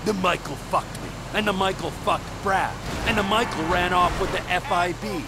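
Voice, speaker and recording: A man's voice: sits high, moderate pace. An actor playing a volatile, menacing middle-aged criminal trucker in a video game, sardonic and profane, drawing out his words. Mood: bitter. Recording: vehicle noise behind the voice.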